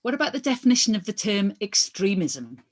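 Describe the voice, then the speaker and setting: A woman's voice: mid-range voice, very animated, fairly quick. A woman reads aloud in the role of a lawyer at a hearing. Her delivery is formal and questioning, with small stumbles as she works through the transcript.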